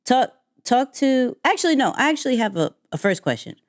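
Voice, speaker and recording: A woman hosting a podcast interview in a chatty, lively way, often repeating herself. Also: high-pitched, fairly quick, no echo, clean studio sound. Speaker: podcast host. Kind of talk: casual interview.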